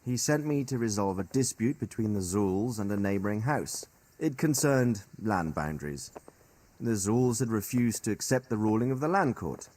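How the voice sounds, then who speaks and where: Formal seasoned nobleman, expressive delivery. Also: lowish voice. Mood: grave, recounting. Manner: formal, deliberate, articulate. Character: stern, seasoned noble royal commander, measured and authoritative. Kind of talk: dramatic video game cutscene dialogue.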